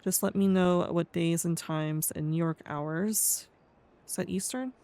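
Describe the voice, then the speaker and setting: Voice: mid-range voice, steady tone, unhurried, darkish tone. A game streamer with a speech impediment, talking casually and reading aloud during roleplay, often tongue-tied and stumbling over words. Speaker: streamer playing a roleplay character.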